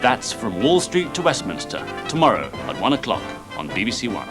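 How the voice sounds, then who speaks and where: A man's voice: mid-range voice, sing-song, a little fast. A man reading a scripted trailer for a current affairs programme. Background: music.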